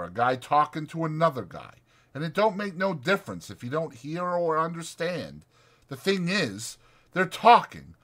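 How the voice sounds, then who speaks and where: A man's voice: on the high side, pitched above the performer's own voice. A man voicing a lonely, bitter, needling stable hand in a dramatized audiobook, with folksy, insistent, taunting talk. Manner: insistent, taunting, folksy, drawn into excited speeches.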